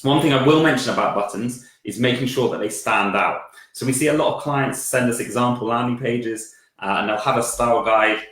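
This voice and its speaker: A man with a croaky voice answering viewer questions on a live stream, hesitant with lots of fillers and restarts. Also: mid-range voice, fairly quick, hardly any pauses, a bit bright. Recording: very echoey room.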